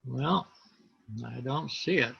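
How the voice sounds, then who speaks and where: A man teaching an online class over a laptop video call, explaining step by step and pausing to look for things on his screen. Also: mid-range voice, gravelly, sharp tone, husky, highs cut off. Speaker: instructor.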